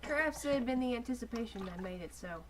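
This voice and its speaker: A woman's voice: on the high side, animated, quick pace, a crowd in the background. A young woman narrating a story as an amateur dramatic reading, with joking asides. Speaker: narrator of a fanfiction. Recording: noticeable background noise.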